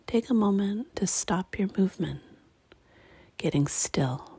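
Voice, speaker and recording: Woman, meditation guide, hushed and breathy, gentle slow phrasing, creaky edges, very dry close sound. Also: medium pitch, lively intonation, no echo.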